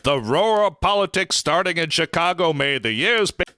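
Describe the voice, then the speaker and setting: A man's voice: fairly high pitch, animated, normal speed, bright, forceful tone, clear, resonant tone, no echo, studio quality, pitched above the performer's own voice. A man playing a 1950s newsreel announcer, declaiming emphatically with old-fashioned pronunciation and vintage processing on the voice. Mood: emphatic, urgent. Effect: vintage tape, tube and EQ newsreel processing.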